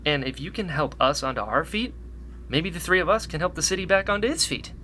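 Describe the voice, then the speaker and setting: A man playing a hopeful, excitable young rebel in a sci-fi audio drama, earnest and loyal. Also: on the high side, a little fast, a little hiss or hum. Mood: hopeful, warm.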